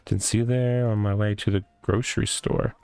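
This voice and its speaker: A man voicing a smug, boastful tough guy from a visual novel, with pompous, drawn-out, theatrical delivery. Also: fairly low pitch, fairly even intonation, creaky, darkish tone, clean tone, no echo. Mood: defensive. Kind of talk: visual novel character read aloud. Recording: music behind the voice.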